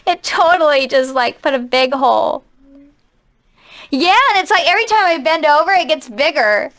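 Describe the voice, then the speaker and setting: High-voiced giggly young-sounding woman, casual. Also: lively intonation, normal speed, fairly bright tone. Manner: giggly, informal, chatty. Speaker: voice actress. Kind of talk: casual studio outtakes between takes. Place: dry recording studio.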